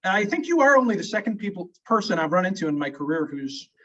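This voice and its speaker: A man hosting an interview talks in a friendly, rambling way, often restarting his sentences. His voice is fairly high for a man and sounds distant and muffled, as if set back from the mic. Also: big pitch swings, fast, a bit bright. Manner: rambling, stop-start, friendly. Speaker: family law attorney host. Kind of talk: casual interview conversation.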